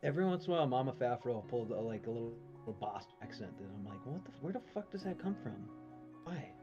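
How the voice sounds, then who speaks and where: Minnesota guy on a trivia stream, mid pitch, laughs a lot, faint music under. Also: sing-song, fast talker, lo-fi sound. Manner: laughs easily, chatty, trails off in asides. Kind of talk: casual chat.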